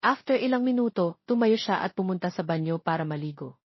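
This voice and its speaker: A female audiobook narrator reads scripted romance. Her voice is mid-pitched with a slightly husky texture. The recording is close and dry but a little dull. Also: expressive, a little fast, dry recording.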